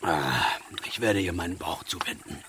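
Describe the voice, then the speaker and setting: An actor playing a grumbling, wry old soldier with a big appetite in a German radio play. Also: male voice, sits low, moderate pace, sharp tone, a little hiss or hum. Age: older. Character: old soldier, hungry, grumbling, gloomy male travel companion.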